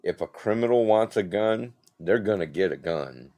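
Low-voiced man chiming in. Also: expressive, normal speed, darkish tone, dry recording. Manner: short agreeing interjections. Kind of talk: casual podcast chat.

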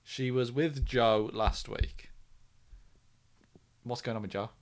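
A man's voice: medium pitch, fairly even intonation, takes it slowly. A man co-hosting a podcast, talking in a casual, conversational way as he discusses an episode. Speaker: podcast co-host.

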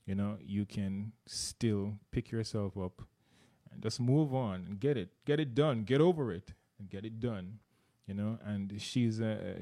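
A man's voice: fairly low pitch, creaky, dark tone. A middle-aged man from Jamaica with a Jamaican accent, talking earnestly as an interview guest and often repeating words as he searches for them. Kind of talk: casual interview.

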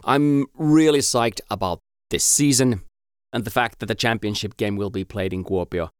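A man's voice: fairly low pitch, clean tone, no room sound, studio quality. A man who speaks Finnish as his first language, eagerly giving his views as a podcast guest. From Finland. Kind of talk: podcast commentary.

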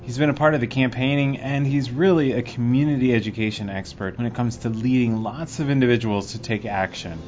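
A man's voice: medium pitch, fairly even intonation, a little fast, few pauses, darkish tone, highs cut off, a little hiss or hum. A man hosting a podcast, reading an upbeat scripted intro and then interviewing a guest. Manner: upbeat, announcer-like. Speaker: podcast host.

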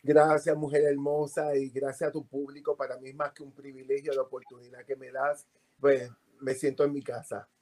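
A Puerto Rican man, a podcast guest, telling animated stories about his life with frequent fillers. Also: medium pitch, moderate pace. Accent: Puerto Rican Spanish. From Puerto Rico. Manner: animated storytelling with many fillers. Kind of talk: casual interview.

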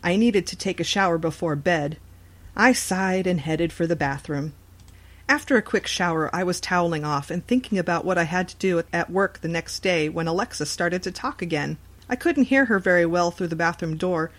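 A woman's voice: lowish voice, moderate pace. A woman reading a scripted first-person horror story as its narrator.

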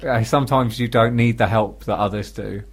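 A man's voice: on the low side, fairly even intonation, moderate pace, slightly rough. A British man co-hosting a radio comedy show, offering dry, brief interjections in casual banter.

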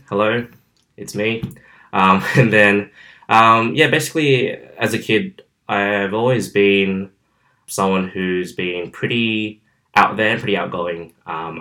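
A man's voice: on the low side, sharp tone. A man of about twenty-one with an Australian accent, chatting on his own podcast from his bedroom in an outgoing way with many fillers. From southwestern Sydney, Australia. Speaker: podcast host. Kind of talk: casual solo podcast monologue. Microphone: camera, filmed.

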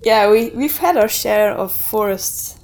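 A woman's voice: mid-range voice, unhurried, darkish tone. A woman co-hosting a casual podcast conversation, speaking a little hesitantly as she feels for her words. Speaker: podcast co-host.

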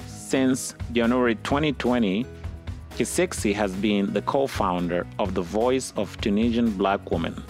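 A man hosts an interview podcast, reading a formal scripted introduction in a somewhat low voice. Soft music plays faintly underneath. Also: a little slow. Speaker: podcast host. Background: soft music bed.

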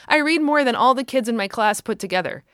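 Woman playing a demanding young girl, bright and pushy, high lively voice, storybook read close and dry. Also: quick pace, no room sound. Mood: sassy. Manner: pert, insistent questioning.

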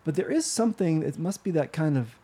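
Soft-voiced man, husky, halting. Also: fairly high pitch, expressive. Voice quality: soft gentle voice. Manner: halting, self-interrupting. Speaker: guest musician. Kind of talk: casual conversation.

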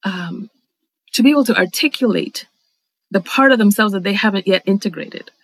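A middle-aged woman from the Dominican Republic speaks as an interview guest, reflective and articulate, often pausing on small fillers and restarting her phrases. Her voice sits at a middle pitch and sounds dry and close, with no room around it, as if recorded over a video call. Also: no echo, poor audio.

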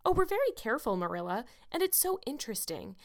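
A woman's voice: fairly high pitch, big pitch swings, fast, voice pushed up. A woman voicing an eager, chattering young orphan girl who pleads breathlessly. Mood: excited.

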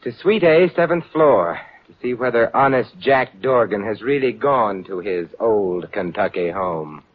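An actor playing a suave, wry, unflappable gentleman sleuth in a 1940s radio mystery, with a dry, composed delivery. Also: lowish voice, animated, unhurried, slight background noise. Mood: concerned. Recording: dull 1940s radio recording.

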